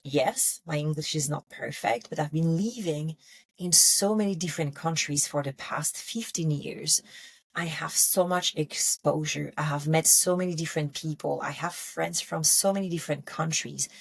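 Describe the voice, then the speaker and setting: Low, husky French-accented woman. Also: moderate pace. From France. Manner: candid, emphatic, encouraging. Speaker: wellness podcast host and coach. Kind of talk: intimate solo podcast talk, motivational.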